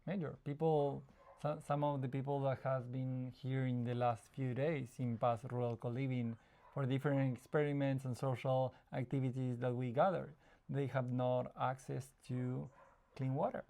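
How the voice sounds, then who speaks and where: Gravelly-voiced Argentine man, thoughtful. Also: mid-range voice, little pitch movement, normal speed, warm tone. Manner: thoughtful, searching for words, frequent restarts, laughs easily. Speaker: psychologist guest. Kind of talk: casual conversational interview.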